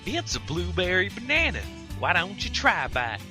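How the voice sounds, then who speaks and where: A man's voice: sits high, huge pitch swings, moderate pace, over music, voice pushed up. A man voicing a cheeky, teasing young country stallion pony with a folksy manner, in a comic fan fiction reading.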